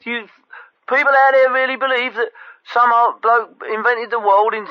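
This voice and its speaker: A man's voice: very high-pitched, fairly even intonation, moderate pace, no room sound. An actor playing a blunt, dismissive, argumentative male caller on a phone line. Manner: blunt, colloquial, dismissive, interrupting. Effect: telephone line.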